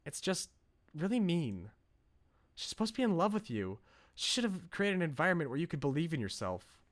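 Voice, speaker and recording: A man's voice: a little high, very animated, a little fast, pitched above the performer's own voice. A man voicing an earnest, devoted young woman from a visual novel, reading her lines with emphasis. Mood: concerned, insistent. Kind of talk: reading visual novel dialogue aloud in character. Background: game music.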